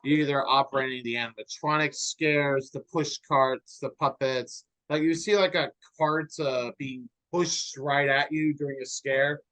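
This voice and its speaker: A man's voice: mid-range voice, fairly even intonation, measured pace, hardly any pauses, fairly bright tone, dry recording, rough recording. A man in his twenties giving enthusiastic, casual interview answers, with restarts along the way. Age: 20s.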